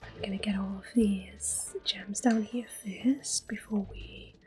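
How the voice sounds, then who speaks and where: Slow, whispering woman doing ASMR commentary. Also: medium pitch, steady tone. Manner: soft-spoken, often whispering. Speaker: host of an ASMR gameplay series. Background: game music.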